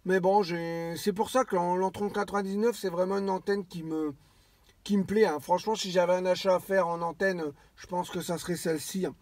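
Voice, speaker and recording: A man with a somewhat high voice talks in a dry, close sound. He rambles casually as a radio hobbyist, hesitating and circling back over his own phrases. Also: steady tone, a little fast, darkish tone, no room sound. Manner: rambling, hesitant, repeats himself. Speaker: amateur CB radio operator.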